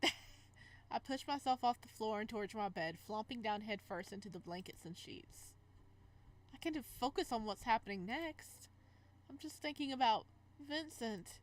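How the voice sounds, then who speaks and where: A woman reading a visual novel aloud and commenting casually as she plays, expressive and reacting out loud, sometimes stumbling over the text. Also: on the high side, moderate pace, rough recording. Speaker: game streamer, let's player.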